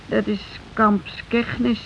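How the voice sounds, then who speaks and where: An actress playing a simple, meek working-class wife in a radio play, hesitant and stammering, repeating herself in unpolished everyday speech. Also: female voice, a little high, level tone, takes it slowly, creaky. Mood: wistful, subdued. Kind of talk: naturalistic radio play acting. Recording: dull, noisy old radio recording.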